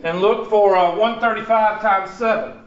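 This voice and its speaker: A man's voice: high voice, fairly even intonation, moderate pace. A man teaching a math class, working problems aloud and questioning students in a classroom. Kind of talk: classroom lesson. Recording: echoey classroom, dull far-off sound.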